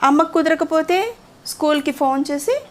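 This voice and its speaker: A woman's voice: high voice, animated, moderate pace. A woman telling a moral story conversationally to a child, often stopping to ask questions. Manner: interactive, asks the child questions.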